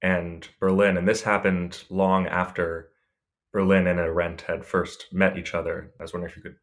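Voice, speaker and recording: A man's voice: deep voice, little pitch movement, moderate pace, fairly bright tone. A man hosting a book podcast, reading a formal introduction and then asking loose, conversational questions. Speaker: podcast host, assistant editor. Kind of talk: podcast interview.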